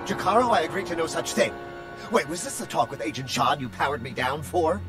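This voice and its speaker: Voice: mid-range voice, sing-song, brisk, over loud music, lightly acted. An actor voicing a prim, fretful, melodramatic droid in a video game. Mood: indignant. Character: fussy, panicky male protocol droid, indignant and prissy. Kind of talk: video game character acting.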